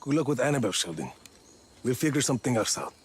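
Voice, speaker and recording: A man's voice: mid-range voice, sing-song, quick pace, husky. An actor playing a guarded, stubborn male action hero with a terse, blunt manner in a video game cutscene. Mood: wary, dismissive.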